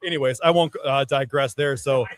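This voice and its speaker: A man in his thirties telling a casual story as a podcast panelist, halting and rambling. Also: medium pitch, steady tone, dry recording. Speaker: sports writer and podcast panelist.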